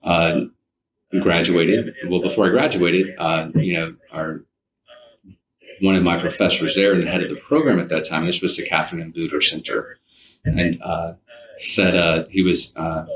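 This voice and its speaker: A man's voice: low-pitched, fairly even intonation, normal speed, fairly bright tone, rough recording. A middle-aged man, telling his own story conversationally and modestly as an interview guest. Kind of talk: casual personal storytelling in an interview.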